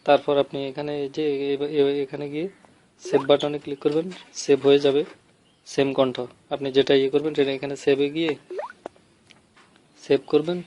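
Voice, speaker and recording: A man with a Bangladeshi accent giving an informal app tutorial, explaining step by step in a halting, repetitive way. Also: on the high side, level tone, mellow, slight background noise. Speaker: tutorial host.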